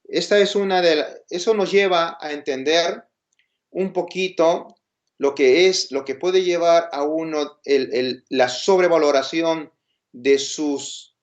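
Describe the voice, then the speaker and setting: Higher-voiced Peruvian man lecturing, dull recording. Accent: Peruvian Spanish. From Peru. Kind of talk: informal lecture with rhetorical questions. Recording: dull, lo-fi sound.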